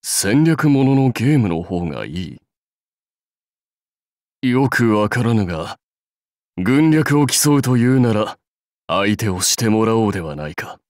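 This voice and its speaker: Slow, stately samurai warlord. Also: male voice, on the low side, very animated, a little mellow, no echo, clean studio sound. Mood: measured, bemused. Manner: stately, archaic lordly speech. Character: commanding, dignified male samurai warlord, proud and seasoned. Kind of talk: Japanese mobile game character voice lines.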